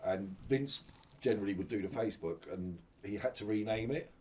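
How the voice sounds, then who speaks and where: A man's voice: fairly low pitch, a little fast, darkish tone, far from the mic, a little hiss or hum. A middle-aged man, a shop manager, telling rambling anecdotes with many restarts in a casual interview.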